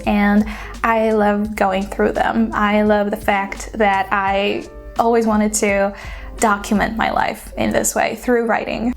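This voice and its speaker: A woman's voice: on the high side, a little mellow. Chatty Polish-accented young woman. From Poland. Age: 20s. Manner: chatty, frequent "like" fillers. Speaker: YouTuber. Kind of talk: casual vlog chat.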